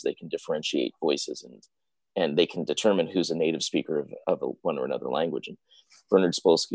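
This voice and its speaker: A man's voice: rumbling low, gravelly, husky, dry recording, low-quality recording. A man on a live panel, a father, talking in a reflective way about his own family's experience with languages. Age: adult parent.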